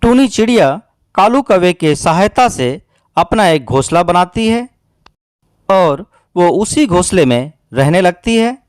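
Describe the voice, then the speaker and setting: A man's voice: sits high, lively intonation, unhurried, clear, resonant tone, no echo, studio quality. A man narrating a scripted children's cartoon story as a storyteller.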